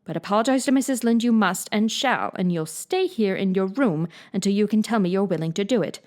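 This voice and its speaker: A woman's voice: mid-range voice, a little fast, darkish tone, lightly acted. Strict middle-aged woman guardian, severe and dry, read by a woman narrator. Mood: stern.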